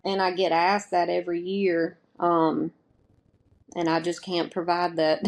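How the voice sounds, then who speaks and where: A woman's voice: fairly low pitch, level tone, unhurried. A woman from Mississippi, speaking as an interview guest in an earnest, plainspoken way. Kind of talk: casual interview.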